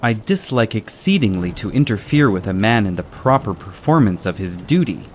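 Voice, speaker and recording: A man playing a courteous, calmly defiant gentleman caballero with a formal, measured manner in a dramatized audiobook. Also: fairly low pitch, slight background noise, natural voice.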